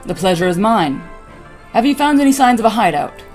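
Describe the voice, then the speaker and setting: A woman's voice: on the high side, very animated, a little fast, a little mellow, soft background music. An actress playing a steadfast orc warrior woman in a fantasy audio drama, formal and plain-spoken. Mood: formal, earnest. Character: steadfast female orc warrior with mace and shield.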